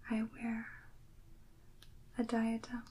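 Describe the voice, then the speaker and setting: A woman playing a serene, regal priestess from a tarot deck, in a breathy, mostly whispered, stately ASMR roleplay delivery. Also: fairly high pitch, monotone, a little mellow, full character voice. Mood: serene, mysterious. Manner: stately, deliberate, mysterious.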